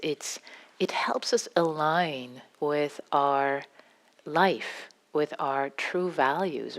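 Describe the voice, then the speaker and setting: A woman with a Farsi accent, a meditation teacher giving an unscripted dharma talk in a gentle, halting way with many restarts. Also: low-pitched. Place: meditation hall.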